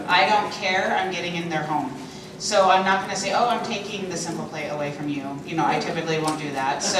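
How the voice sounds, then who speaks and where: A middle-aged woman on a convention panel, talking in a frank, chatty and enthusiastic way into a handheld mic. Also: fairly low pitch. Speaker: panelist, sales consultant. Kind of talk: panel discussion. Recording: echoey hall, distant, noisy.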